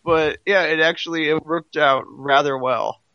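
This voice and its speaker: A man's voice: fairly high pitch, steady tone, moderate pace, no echo, dull audio. A man chatting casually as a podcast panelist, rambling and repeating himself as he works toward his point. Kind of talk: casual fan discussion.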